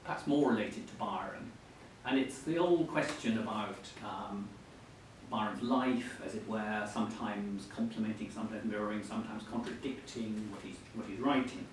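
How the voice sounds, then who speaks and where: Very echoey man interviewing, hesitant. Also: normal speed. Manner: hesitant, roundabout questioning. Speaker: interviewer at a book event. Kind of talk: literary interview before an audience. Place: echoey hall at a live book event. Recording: very echoey, distant mic, faint rumbling noise.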